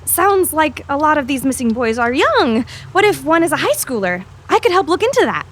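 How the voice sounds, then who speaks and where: A woman's voice: sits high, sing-song, moderate pace, fairly bright tone. A woman playing an earnest, pushy teenage student journalist in an audio drama, with an insistent, sassy manner. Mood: curious, eager. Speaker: voice actor.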